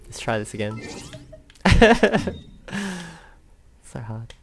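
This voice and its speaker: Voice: mid-range voice, sing-song, drawn-out words, soft background music. A gamer giving casual commentary while playing, talking to themselves and viewers with frequent exclamations. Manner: talks to self and viewers, exclaims, giggles often. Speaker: let's play video host.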